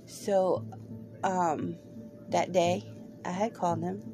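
Slow, gravelly woman hosting a podcast. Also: fairly low pitch, steady tone, music in the background, rough recording. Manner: hesitant, lots of ums, candid. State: voice a little off after a cold. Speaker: podcast host. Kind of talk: personal podcast monologue.

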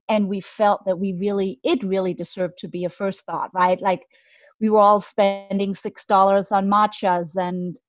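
A woman's voice: medium pitch, dry recording. Danish-accented woman, dry slightly dull recording. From Denmark. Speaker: guest, beauty brand co-founder. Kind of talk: conversational podcast interview.

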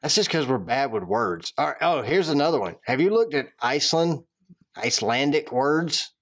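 A man's voice: fairly high pitch, expressive, moderate pace, gravelly, fairly bright tone. A man with a Southern accent co-hosting a podcast, bantering in a dry, self-deprecating way. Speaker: podcast co-host. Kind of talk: casual chat.

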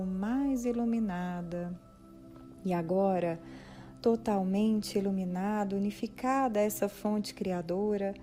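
A Brazilian woman leading a guided meditation, soothing and gently instructing in short phrases with pauses between them. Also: mid-range voice. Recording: soft background music. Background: soft music.

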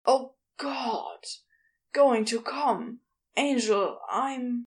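A woman's voice: on the high side, lively intonation, very slow, full character voice. A woman voicing a lovestruck man in an audiobook, needy and teasing, with moans and cries in his delivery. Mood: desperate. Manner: moaning, crying out.

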